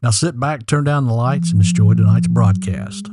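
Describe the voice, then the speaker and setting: A man's voice: mid-range voice, animated, normal speed, heavy background noise. A man hosting a show with scripted narration. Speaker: show host.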